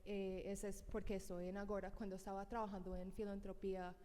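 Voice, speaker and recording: A woman's voice: mid-range voice, flat delivery, fairly quick, dark tone. A woman panelist giving a matter-of-fact introduction. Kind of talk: short personal introduction on a panel. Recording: reverberant hall with faint noise.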